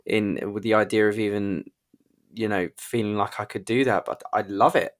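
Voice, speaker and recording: A man's voice: fairly low pitch, steady tone, normal speed. A man hosting a casual interview, enthusiastic and encouraging, feeling his way through roundabout questions. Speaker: interviewer, podcast host.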